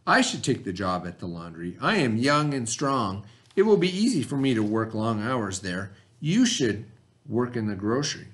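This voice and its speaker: A man reads the part of a dutiful young son from a storybook, earnest and respectful. The delivery is measured and politely pleading, in a mid-range voice. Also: mid-range voice, big pitch swings, fairly bright tone. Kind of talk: storybook read-aloud.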